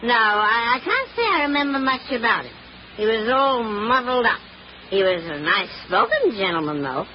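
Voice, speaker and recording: A woman's voice: fairly high pitch, very animated, moderate pace, dull audio. A woman playing a blunt, grumbling old English landlady with working-class speech in 1940s radio drama, on a noisy old recording. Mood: recollecting. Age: older.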